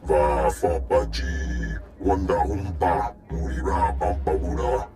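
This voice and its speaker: Gruff alien male, deep, unhurried, deliberate, invented language, game creature, music underneath. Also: expressive, warm tone, full character voice. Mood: imposing. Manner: slow, deliberate. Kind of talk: video game creature voice acting.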